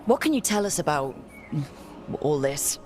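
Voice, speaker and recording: Low-voiced resolute young heroine. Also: female voice, sing-song, measured pace, a little mellow, faint music behind. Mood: determined. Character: resolute young heroine, steady team leader. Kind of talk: video game voice acting.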